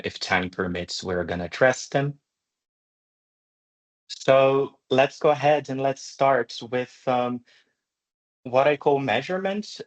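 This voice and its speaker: A man presenting a live online lecture in a measured, explanatory way, with hesitant pauses. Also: medium pitch, poor audio. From Brazil. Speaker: webinar presenter, journal deputy editor. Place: online video call.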